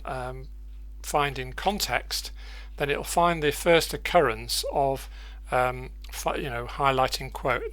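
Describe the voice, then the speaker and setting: A man presenting an unscripted software tutorial, thinking aloud haltingly and repeating words as he goes. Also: medium pitch. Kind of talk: unscripted screen-share tutorial.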